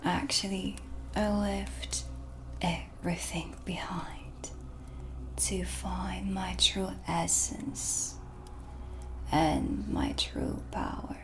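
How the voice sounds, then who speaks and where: A woman playing a proud, mysterious dark witch in a whispered cinematic ASMR roleplay, with a breathy, hushed, deliberate delivery. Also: lowish voice, big pitch swings, full character voice. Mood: reflective, assured. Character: self-assured dark witch, mysterious and proud, in her shop. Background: music, dark ambience.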